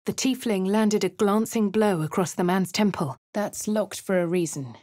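An actress with a posh English accent playing a composed adventurer in a game, speaking in a dry, measured, lightly sardonic way. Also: female voice, medium pitch, a little mellow, no room sound, close to an everyday voice. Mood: wry. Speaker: player character. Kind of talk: game voice lines. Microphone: studio mic.

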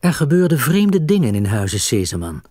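A man's voice: mid-range voice, very animated, moderate pace, over music. A man narrating a scripted children's story.

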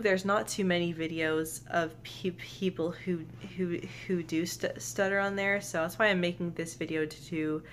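Young woman, own voice, low-ish pitch, stutters with sound repeats and blocks, earnest camera-facing vlog, faint hiss behind. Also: fairly bright tone. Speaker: vlogger. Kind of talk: personal vlog talk to camera. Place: bedroom, indoors. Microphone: camera close in front.

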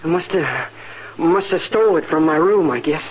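Sullen young frontier son played by a man, higher-pitched, jittery and halting, vintage noisy radio. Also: animated, highs cut off, lightly acted. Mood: frightened, evasive. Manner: halting, agitated. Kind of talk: 1950s radio western acting.

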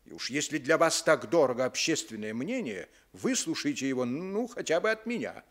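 An actor playing an unctuous, pompous Sicilian newspaper editor in a 1960s radio drama, florid and pleading with veiled menace. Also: male voice, a little high, sing-song, normal speed, a bit bright. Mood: wounded, pompous. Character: unctuous, indignant Sicilian newspaper editor defending a mafia boss.

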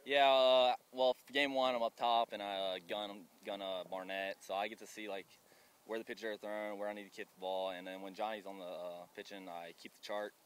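Lower-voiced young male athlete, halting answers, faint outdoor noise. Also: fairly even intonation. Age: young adult. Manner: hesitant, short answers with fillers. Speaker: college baseball pitcher. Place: outdoors beside a baseball field.

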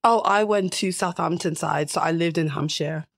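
Young Irish-born woman with a gravelly edge. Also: on the low side, moderate pace, dry recording. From Ireland. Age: teens. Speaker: student guest. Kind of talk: casual interview.

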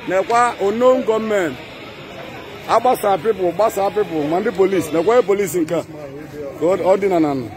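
A man with an Igbo Nigerian accent narrating as an eyewitness in short, repeated phrases. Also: high voice. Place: outdoors at a roadside. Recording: outdoor phone clip with crowd noise.

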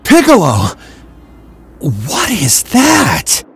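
A man's voice: high voice, huge pitch swings, extremely slow, husky, faint music behind, exaggerated voice. A man voicing a bewildered, earnest young fighter in an anime game cutscene. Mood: alarmed.

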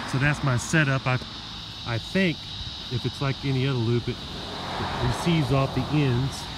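A man casually showing his radio setup, talking with lots of "uh" pauses as he walks around his garage and yard. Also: mid-range voice. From Florida. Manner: relaxed, filler-heavy, explaining as he goes. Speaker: radio hobbyist demonstrating his gear. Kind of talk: casual show-and-tell. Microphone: handheld phone camera. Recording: noisy garage recording with radio hiss.

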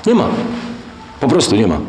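Bitter resigned older guy, lively pitch, reverberant room. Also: moderate pace, slight background noise.

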